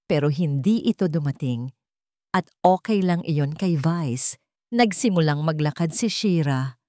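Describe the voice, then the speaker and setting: A woman's voice: on the low side, warm tone, dry recording, studio quality. A woman storyteller reading a scripted love story as dramatic narration.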